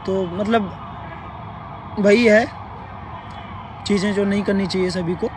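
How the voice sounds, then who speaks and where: Hoarse male live host, high pitched, halting, faint street noise behind. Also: steady tone. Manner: clears throat often, halting with fillers. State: hoarse, sore throat. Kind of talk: casual live update. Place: sitting outdoors near a court.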